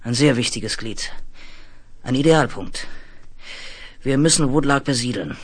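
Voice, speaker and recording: Casting: older space-colony commander, middle of the vocal range, gruff, fatalistic, commanding, vintage radio-play delivery. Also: male voice, medium pitch, expressive, measured pace. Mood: grim, resolute. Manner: commanding, clipped orders. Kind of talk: 1970s German radio play acting.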